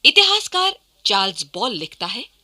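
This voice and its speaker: A woman serves as narrator of a historical radio play. Her voice is high and very bright, with lively swings in pitch as she delivers the scripted account dramatically. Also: normal speed.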